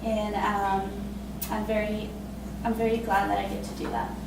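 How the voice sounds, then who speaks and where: A woman in her twenties from Costa Rica, telling her own story in a casual, halting way with many fillers and laughs. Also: mid-range voice, level tone, very echoey, distant mic, noisy background. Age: 20s. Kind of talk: personal spoken testimony.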